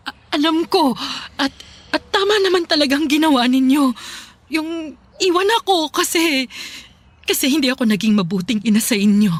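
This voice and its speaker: An actress playing a remorseful, middle-aged Filipino mother in a radio drama, pleading tearfully and haltingly. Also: high-pitched, lively intonation, no room sound. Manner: tearful, halting, repeating herself.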